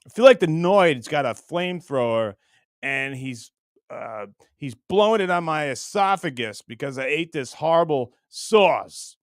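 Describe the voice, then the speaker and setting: A middle-aged man with a fairly high voice chats loosely as a livestream host. He is blunt, crude and jokey, drifting from low muttering to loud bursts. The sound is close and dry. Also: animated, a little slow, no echo. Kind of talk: casual, rambling livestream chat.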